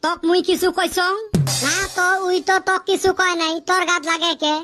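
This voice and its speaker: Very high childlike voice, excitable cartoon village boy, complaining banter, clear music backing. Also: normal speed. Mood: bantering, indignant. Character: excitable village boy joking and bickering with friends. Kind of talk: Bengali comic cartoon dub.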